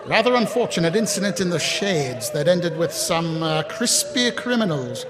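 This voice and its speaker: An actor playing an awkward, sceptical, world-weary city watch captain in a live radio comedy, with a dry, hesitant delivery. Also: male voice, a little high, big pitch swings, normal speed, noisy background. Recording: reverberant live stage, audience behind.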